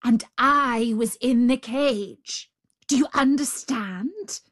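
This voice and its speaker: A woman's voice: fairly high pitch, very animated, extremely slow, pitched above the performer's own voice, heavily acted. A woman voicing a savage male tiger in a storybook, growling, bullying and domineering. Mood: exasperated rage. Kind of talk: storybook character voice.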